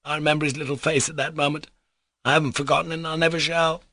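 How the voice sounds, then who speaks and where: A man's voice: fairly high pitch, little pitch movement, fast talker, bright, forceful tone, no echo, studio quality, pitched above the performer's own voice. A man playing an agitated, self-mocking ruined army captain and father, theatrical and voluble, in an audiobook. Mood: confiding, agitated.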